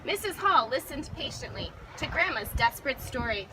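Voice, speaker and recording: A woman's voice: high-pitched, big pitch swings, normal speed. A woman reading a children's picture book aloud expressively, recorded outdoors. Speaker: storybook reader. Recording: noisy outdoor recording with traffic.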